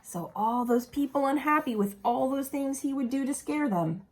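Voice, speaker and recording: A woman reading a picture book aloud expressively to young children, pausing to ask them simple questions. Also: high-pitched, animated, a little mellow, poor audio.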